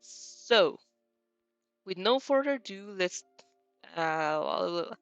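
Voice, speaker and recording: A woman's voice: on the low side, animated, very slow, no room sound, dull audio, soft background music. A woman hosting a casual fanfiction read-aloud, hesitant and giggly, often correcting herself as she goes. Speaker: host of fanfiction reading show.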